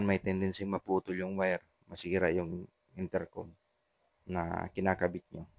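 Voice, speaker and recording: A Filipino man narrating a casual how-to vlog in a halting, repetitive way, with short trailing phrases. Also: low-pitched, vocal fry, no room sound. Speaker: vlogger, intercom installer.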